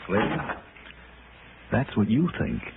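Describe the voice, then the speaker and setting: An actor playing a wry, persistent male insurance investigator in 1960s radio noir, brisk and probing as he talks and narrates. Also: on the low side, sing-song, gravelly, phone-quality sound, very noisy, exaggerated voice. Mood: insistent. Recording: band-limited old radio broadcast, music behind.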